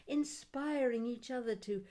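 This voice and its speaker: A woman reading her own poetry aloud, with deliberate, measured phrasing, dramatic pauses and short chatty asides. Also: on the high side, dark tone. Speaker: poet reading own work.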